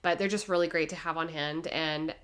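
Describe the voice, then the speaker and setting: A young woman giving a gentle, measured voice-over as she explains her home cooking step by step. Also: sits low, fairly even intonation, smooth. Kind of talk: calm instructional voice-over.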